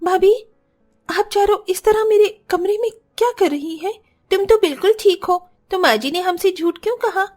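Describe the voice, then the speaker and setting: Casting: female actor as kind young cartoon bhabhi, very high voice, earnest delivery. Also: moderate pace, a little mellow. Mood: surprised. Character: kind, protective young sisters-in-law and their gentle young student sister-in-law. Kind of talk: Hindi cartoon story acting.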